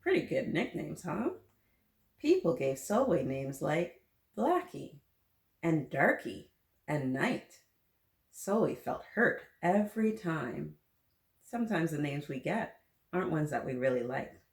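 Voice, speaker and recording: A woman with a fairly low voice hosts a children's story time at an unhurried pace. She reads aloud in a gentle, encouraging way, adding asides and questions for young listeners. The room gives her voice a noticeable echo. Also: sing-song.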